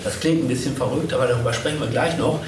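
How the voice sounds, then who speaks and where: A man's voice: mid-range voice, very fast. A man hosting a live panel interview, speaking in wordy, reflective phrases. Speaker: podcast host and interviewer. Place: echoey convention room. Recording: echoey room, distant, noisy.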